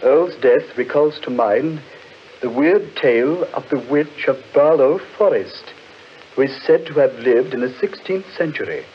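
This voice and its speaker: An actor playing a sceptical gentleman in a 1940s radio horror drama, measured and declamatory. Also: mid-range voice, animated, close to an everyday voice. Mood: grave. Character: brisk, sceptical gentleman, dismissive of superstition. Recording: muffled, noisy 1940s radio recording.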